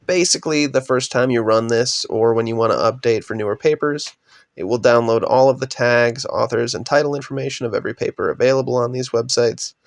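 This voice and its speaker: A young man with a voice raspy from a cold, steadily narrating a slide presentation on his undergraduate research project. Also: medium pitch, fairly quick, no echo, clean studio sound. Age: young adult. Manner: steady, explanatory, trails off at sentence ends.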